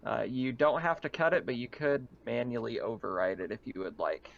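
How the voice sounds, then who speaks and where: A man with a creaky, medium-pitched voice runs a tabletop roleplaying game. He explains scenes conversationally and casually. The recording sounds slightly dull and lo-fi. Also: a little fast. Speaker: game master.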